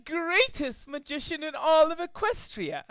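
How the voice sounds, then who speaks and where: Performer acting a boastful female pony illusionist, pompous, declamatory, raised pitch, showy cartoon delivery, dry sound. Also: very animated, a little slow, fairly bright tone, dry recording, heavily acted. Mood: grandiose. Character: boastful female pony stage magician, grandiose showoff.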